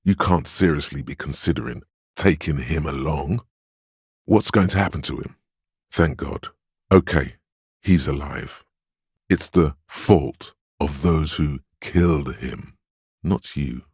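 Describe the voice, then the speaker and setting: A man voicing a stern, steadfast, protective inner voice of willpower in a video game, speaking in a measured, commanding, admonishing way. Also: a little mellow, no room sound, studio quality, exaggerated voice. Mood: firm, dry, then relieved, consoling. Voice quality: very deep, smooth, resonant. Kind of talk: dramatic second-person game narration.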